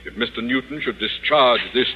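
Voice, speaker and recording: An actor playing a pompous, self-serving middle-aged school principal in 1950s radio comedy style. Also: male voice, medium pitch, brisk, lightly acted. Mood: alarmed, blustering. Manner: pompous, fawning to superiors, overbearing to subordinates. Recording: muffled, noisy old radio recording.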